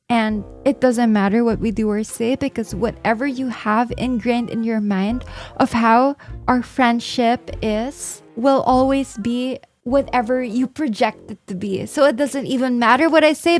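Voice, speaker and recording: A woman with a Filipino accent, a livestreamer reading viewer confessions aloud and reacting bluntly. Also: on the high side. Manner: blunt and exasperated in reactions, mocking the writer.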